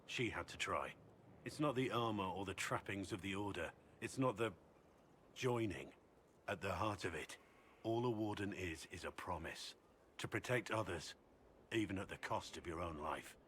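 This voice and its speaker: A man's voice: low voice, normal speed, a bit bright, a little hiss or hum. An actor playing an earnest male Grey Warden speaking in a reflective, solemn way.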